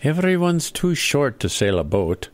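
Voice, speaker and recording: A man's voice: on the high side, big pitch swings, unhurried. A man voicing a pompous, easily exasperated leprechaun chairman in a comic radio play. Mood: pompous, businesslike. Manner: pompous, exasperated formal address. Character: bossy leprechaun chairman running a meeting.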